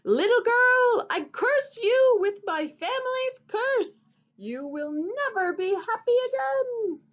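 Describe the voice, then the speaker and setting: A woman's voice: very high voice, animated, pitched above the performer's own voice, exaggerated voice. A woman reading a storybook, voicing a greedy, vengeful archduke in a pompous, demanding manner. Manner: pompous, demanding, drawn-out shouting. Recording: dull lo-fi audio.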